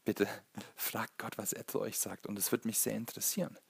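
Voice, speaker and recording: Husky, low-voiced preacher man. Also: fairly even intonation, no echo. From Germany. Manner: earnest, halting, searching for words. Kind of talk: sermon, Bible teaching.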